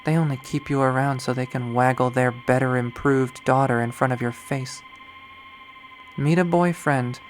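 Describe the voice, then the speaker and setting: A man's voice: medium pitch, fairly even intonation, normal speed, natural voice, poor audio. A man puts on a middle-aged mother from a visual novel, nagging and anxious about status. The voice scolds and belittles, over faint music. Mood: nagging, disapproving. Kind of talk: dramatic visual novel readthrough.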